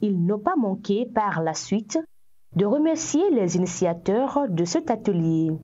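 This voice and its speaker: A woman's voice: medium pitch, very animated, a little slow, warm tone, muffled recording. A woman news reporter reads a scripted voice-over.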